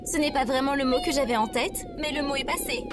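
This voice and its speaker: A polite robot assistant voiced by a woman in a sci-fi comedy audio drama, high and lively in pitch. She teases with dry, cheerful courtesy. There is heavy noise behind her. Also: quick pace. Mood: wry. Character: polite, dryly sarcastic female AI assistant robot.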